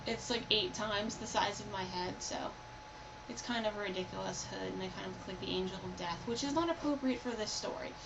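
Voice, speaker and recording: A woman's voice: mid-range voice, fairly quick, creaky. A young adult woman talking informally and playfully, as in a homemade video chat or storybook read-aloud. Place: indoors, recording on a personal computer. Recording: noisy home computer recording.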